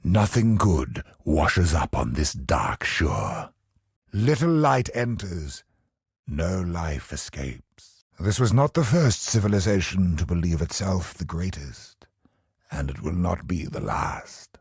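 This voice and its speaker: An actor playing an ancient, brooding godlike villain in a video game, speaking in grim, theatrical monologue with a husky, breathy voice and hushed phrase endings. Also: male voice, deep voice, big pitch swings, slow pace, no echo, clean studio sound, heavily acted. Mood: brooding, foreboding.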